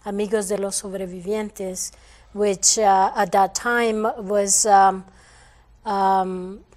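A woman from El Salvador, a guest on a studio panel, explaining slowly and haltingly with many fillers. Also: mid-range voice, flat delivery, darkish tone. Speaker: community organization guest. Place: TV studio, panel at a long table.

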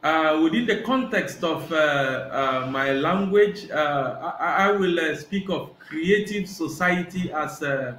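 A middle-aged man with a Nigerian accent whose first language is Igbo, a professor giving measured, rhetorical answers on a video call with many hesitant "uh"s. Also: a little high, fairly bright tone, slight background noise. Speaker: guest professor. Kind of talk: reflective interview answers. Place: small indoor room. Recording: echoey room on a video call.

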